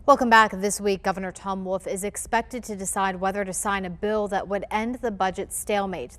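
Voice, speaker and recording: A woman anchoring local news, reading scripted stories in a broadcast style. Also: mid-range voice.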